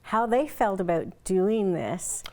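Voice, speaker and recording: A woman's voice: medium pitch, animated, slow, darkish tone. A woman hosting a community interview in a polite, conversational manner. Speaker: interview host.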